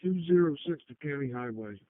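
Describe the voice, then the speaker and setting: Man, fairly high voice, casual halting radio check-ins, road crew driver, narrow tinny transmission. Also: expressive, no room sound. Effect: two-way radio.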